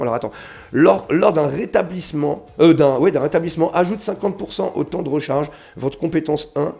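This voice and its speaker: Gravelly, stuffy-voiced young man chatting. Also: on the high side, darkish tone. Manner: chatty, slangy, addresses viewers as "les gars", reads game text aloud. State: slightly stuffy with a cold. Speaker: mobile game video creator. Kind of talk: casual gaming commentary to viewers.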